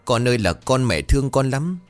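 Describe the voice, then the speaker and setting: A man voicing a sharp-tongued older village woman who wails and scolds loudly in an audio story. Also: medium pitch, sing-song, a little fast, faint music behind, pitched above the performer's own voice, natural voice. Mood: wailing grief. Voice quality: loud, ringing. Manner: wailing, accusatory. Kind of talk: dramatic audio-story dialogue.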